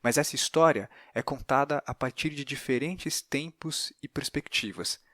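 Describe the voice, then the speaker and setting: A Brazilian man hosts a podcast with analytical, articulate explanations. His voice sits at an average pitch. The sound is very dry, with no room echo. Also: normal speed, pushed and bright. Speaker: podcast host.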